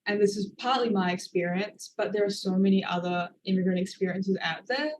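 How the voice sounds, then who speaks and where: Thoughtful woman, mid pitch. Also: a little fast, a little mellow, low-quality recording. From Australia. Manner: thoughtful, hedging with frequent fillers. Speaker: author guest. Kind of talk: conversational interview answers.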